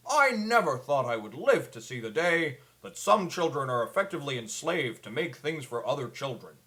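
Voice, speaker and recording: Man playing an animated, earnest old Santa Claus. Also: medium pitch, normal speed. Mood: concerned, grave. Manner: earnest, pleading storyteller delivery. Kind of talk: scripted public address.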